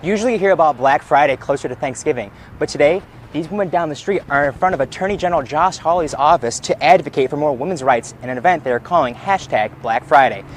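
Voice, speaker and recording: A male television reporter reads scripted news in a slightly high voice. He narrates stories and stand-ups as a field correspondent, with faint ambient noise behind him. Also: quick pace.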